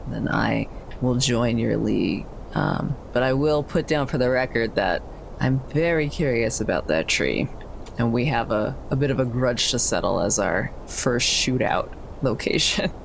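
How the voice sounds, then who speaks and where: Very deep-voiced, creaky woman. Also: normal speed, a little hiss or hum. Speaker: tabletop roleplaying player. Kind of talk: casual in-character table talk.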